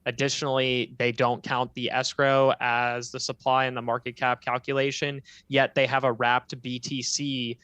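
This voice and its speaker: A man's voice: mid-range voice, little pitch movement, normal speed, few pauses, sharp tone, clear, resonant tone, no echo. A man co-hosting a casual livestream, giving blunt, firmly held opinions. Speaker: livestream co-host.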